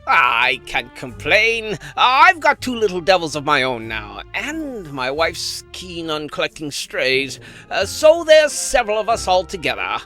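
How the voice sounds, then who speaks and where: A man voicing a cocky, flippant dragon assassin and family dad in an animated fantasy series, with sarcastic, stammering banter. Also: a little high, a little slow, hardly any pauses, soft background music, exaggerated voice. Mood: breezy, playful.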